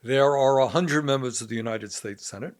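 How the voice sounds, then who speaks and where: An older man hosting a podcast interview, asking questions in a measured, deliberate way. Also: mid-range voice.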